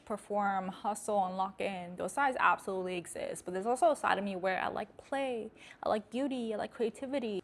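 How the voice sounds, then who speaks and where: Direct young woman with American accent. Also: medium pitch. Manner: direct, emphatic, candid. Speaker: host. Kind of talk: casual talking-head vlog.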